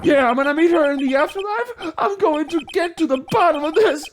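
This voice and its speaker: Casting: overwrought, whiny young man on trial, acted by an amateur reader, pitched high, game melodrama with music behind. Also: lively intonation, fairly quick. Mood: indignant, despairing. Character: melodramatic, whiny young male defendant, hot-headed and lovesick. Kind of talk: video game dramatic reading.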